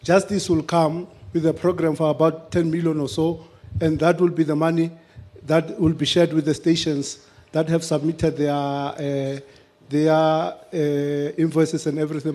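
A man giving a matter-of-fact, somewhat halting explanation off the cuff at a public discussion. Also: on the high side, flat delivery, darkish tone.

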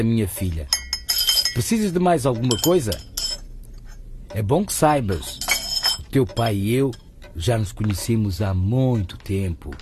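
A man's voice: medium pitch, dark tone, a little hiss or hum. An actor playing a middle-aged businessman father in a radio soap, courteous but evasive and easily irritated. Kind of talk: radio soap opera acting.

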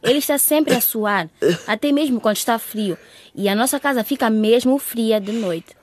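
A woman's voice: on the high side, moderate pace, darkish tone, no echo, lightly acted. A woman acting as a caring, outspoken young daughter in a radio drama, earnest and insistent. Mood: worried.

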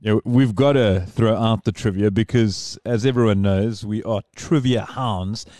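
A man's voice: fairly low pitch, unhurried, mellow, no echo, studio quality. A man hosting a podcast in casual chat, rambling and digressing as he talks. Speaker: podcast host.

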